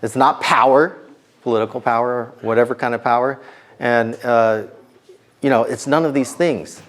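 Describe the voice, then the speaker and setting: Middle-aged male speaker, a little hoarse, preaching to students in a relaxed, talky way. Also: mid-range voice, moderate pace. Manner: conversational, many ums and restarts. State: slightly hoarse. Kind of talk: informal sermon to students.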